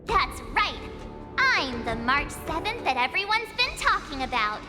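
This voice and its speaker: Super high bouncy voice, a cheeky young swordswoman, reverby with game music under it. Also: very animated, measured pace. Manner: chirpy, playful and self-assured. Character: perky young female swordswoman, cheeky and upbeat. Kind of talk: game cutscene dialogue.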